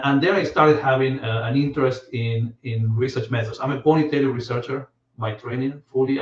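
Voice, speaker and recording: A man in his forties from Argentina, giving a relaxed academic talk with many fillers and restarts over a video call. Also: mid-range voice. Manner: relaxed, frequent fillers and repeated words. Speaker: guest lecturer, professor. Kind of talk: casual academic presentation. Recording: distant, roomy, dull video-call audio.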